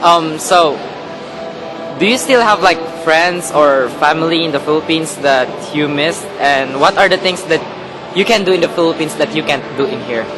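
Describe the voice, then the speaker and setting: A man asking oral history interview questions in a hesitant, halting way with many ums. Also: on the high side, music in the background. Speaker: student interviewer. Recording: noisy background.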